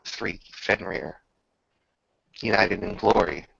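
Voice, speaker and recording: A man with a lowish voice full of heavy creak narrates a tabletop fantasy game like a saga. He speaks slowly and grandly, leaving long pauses between phrases. The recording is slightly dull. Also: a bit bright, no echo. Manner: measured, grandiose, with drawn-out pauses. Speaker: game master. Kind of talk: dramatic storytelling narration for a tabletop roleplaying game.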